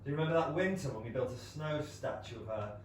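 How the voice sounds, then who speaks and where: A very reverberant theatre recording, distant from the mic, of an actor as a self-assured young Regency gentleman. He delivers stage comedy in a dry, teasing, wry way. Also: mid-range voice, animated, fast, lightly acted. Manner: wry, drily witty. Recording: very echoey room, distant mic, faint noise.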